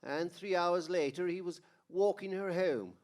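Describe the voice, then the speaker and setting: A man's voice: sits high, measured pace, darkish tone. An actor playing a kindly, plain-spoken heavenly man telling a story in an old-time radio drama. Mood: calm, storytelling.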